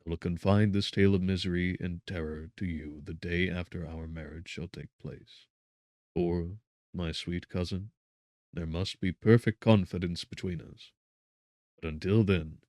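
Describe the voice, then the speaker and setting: A man voicing a tormented, guilt-ridden young gentleman in an audiobook, earnest, devoted and gravely impassioned. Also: deep voice, steady tone, normal speed, no echo, natural voice. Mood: grave devotion.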